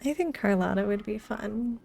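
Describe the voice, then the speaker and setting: A woman's voice: medium pitch, moderate pace, creaky, darkish tone, soft background music. A woman giving casual gameplay commentary as a streamer, musing aloud in short reactions. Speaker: game streamer.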